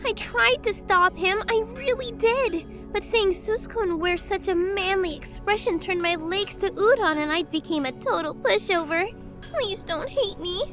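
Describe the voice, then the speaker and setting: A woman plays a bubbly, overexcited young fox girl in an audio drama, gushing and flustered. Her voice sits very high and squeaky, with faint music playing underneath. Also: a little slow.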